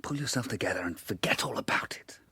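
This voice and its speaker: Amateur sleuth, British radio drama; good-natured, chatty, earnest, expressive pitch with a husky edge. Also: male voice, quick pace, no room sound, whispered, exaggerated voice. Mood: reassuring. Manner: earnest, chatty, easily flustered.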